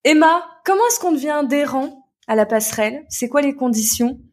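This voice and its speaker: A woman's voice: high-pitched, fairly quick, darkish tone. A woman hosting a casual conversational interview, feeling her way through questions in a hesitant manner. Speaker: interviewer, host.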